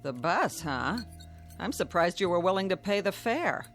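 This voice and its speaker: An actress playing a weary, impatient wife in a radio drama, dryly sarcastic and scolding. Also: female voice, lowish voice, very animated, moderate pace, fairly bright tone, faint music behind. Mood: dry, suspicious.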